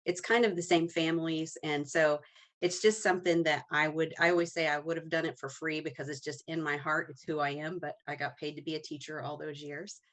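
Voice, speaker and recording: A woman's voice: deep voice, fairly even intonation, fairly quick, a bit bright. A woman in her forties, a teacher and agency co-owner, answering as a guest in an earnest, heartfelt way. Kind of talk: casual interview answers.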